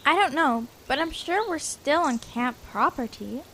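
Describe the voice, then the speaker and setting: Woman playing a high-voiced breezy girl camper. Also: expressive, unhurried, fairly bright tone, slight background noise, heavily acted, rough recording. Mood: eager. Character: breezy, confident young girl scout named Sally. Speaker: actor in audio drama. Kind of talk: audio drama acting.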